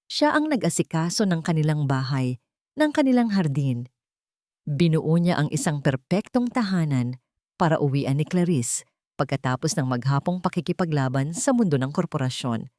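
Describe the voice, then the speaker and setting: Brief: woman storyteller, lower voice, dry close sound, measured and dramatic. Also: expressive, dark tone, no room sound. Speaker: narrator. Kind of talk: scripted romance story narration.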